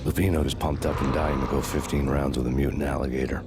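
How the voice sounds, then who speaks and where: A brooding hardboiled American avenger narrates a noir game. His voice is extremely low and flat in pitch, deadpan and wry with world-weariness. Music plays clearly behind him. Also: fast talker.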